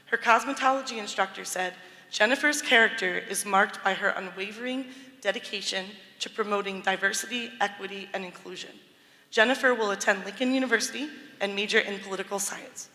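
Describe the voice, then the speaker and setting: A woman's voice: medium pitch, fairly quick, sharp tone. A woman presenting a scholarship and reading quotes from a student's essay in a large church. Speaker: awards presenter. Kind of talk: reading award citations. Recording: reverberant church hall.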